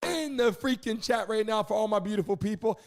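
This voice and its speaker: A man hosting a sports livestream, excitably giving repetitive chat shout-outs and swearing casually. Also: high-pitched, brisk, music in the background. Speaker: livestream sports show host. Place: streaming studio in Miami.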